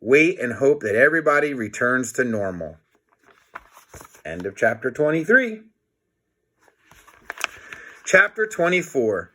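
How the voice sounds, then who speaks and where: Male storybook reader, mid-pitched and bright, playful and theatrical delivery for kids. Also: very animated, measured pace, pauses often. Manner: playful, dramatic, comments on the pictures. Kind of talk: animated read-aloud of a children's book.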